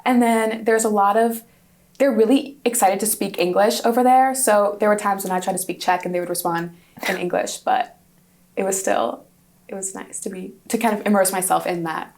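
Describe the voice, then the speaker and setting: A woman's voice: mid-range voice, steady tone, normal speed, a little mellow. A woman in her twenties, a student guest in an interview, a native Hungarian speaker answering in a chatty, upbeat way with frequent restarts. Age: 20s.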